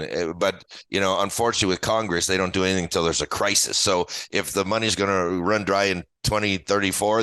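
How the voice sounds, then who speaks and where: A man's voice: fairly low pitch, bright, forceful tone, no room sound. An American man in his early sixties explaining things as an interview guest in an emphatic, plain-spoken, folksy way. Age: early 60s. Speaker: guest retirement expert and author.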